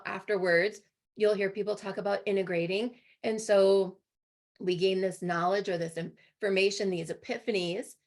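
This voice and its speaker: A woman with a hoarse voice co-hosting an online interview, asking questions in a conversational, circling and halting way. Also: mid-range voice. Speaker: co-host and interviewer. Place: remote video call.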